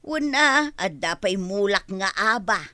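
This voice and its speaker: An actress with an Ilocano accent playing a put-upon, quarrelsome village wife in an audio drama, given to exclaiming and complaining. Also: female voice, mid-range voice, lively intonation, a little slow, sharp tone, dry recording. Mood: complaining, chatty.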